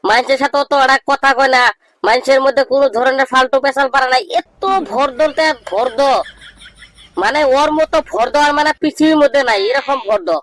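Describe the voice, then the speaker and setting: A man voicing a cheeky, boastful young village know-it-all in a comedy cartoon, with a rural Bangladeshi accent and a pitched-up voice. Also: fairly even intonation, fairly quick, fairly bright tone, poor audio. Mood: mocking, emphatic. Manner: bragging, teasing, declamatory.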